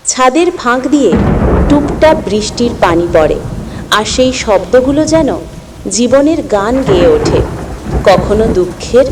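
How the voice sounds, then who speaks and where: A woman narrating a scripted story with measured, expressive phrasing. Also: medium pitch, background noise.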